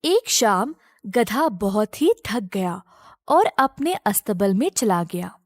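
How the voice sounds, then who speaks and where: A woman's voice: mid-range voice, very animated, slow pace, no echo, clean studio sound. A woman narrating a scripted moral story for children as a storyteller.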